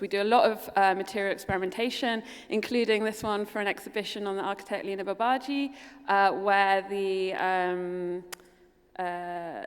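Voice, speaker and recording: A woman's voice: medium pitch, clean tone. A woman with a London accent giving a keynote talk as an architect, casual and full of um and kind of. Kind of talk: conference presentation. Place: lecture hall at a forum. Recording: long hall reverb.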